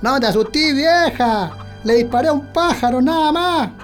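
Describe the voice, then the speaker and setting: A man's voice: very high-pitched, lively intonation, normal speed. A man acting a stubborn, skeptical rural husband in a radio drama, with colloquial Chilean speech. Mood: agitated, reassuring. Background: prominent music.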